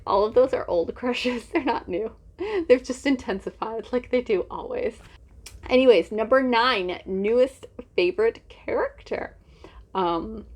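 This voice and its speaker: A young woman chatting casually in a book vlog, with a gushing, giggly, self-deprecating manner. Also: medium pitch. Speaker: book reviewer vlogger.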